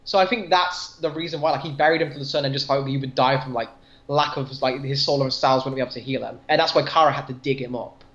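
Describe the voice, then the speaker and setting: A man's voice: mid-range voice, fairly even intonation, quick pace, a bit bright. A man co-hosting a podcast, casually discussing comic books in a tentative, self-deprecating way. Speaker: podcast co-host. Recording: dull lo-fi audio.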